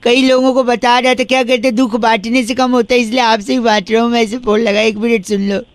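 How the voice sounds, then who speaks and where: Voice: medium pitch, flat delivery, brisk, heavy background noise. A radio comedy host making prank phone calls, telling rambling mock-earnest stories with great persistence. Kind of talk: comic prank phone call patter.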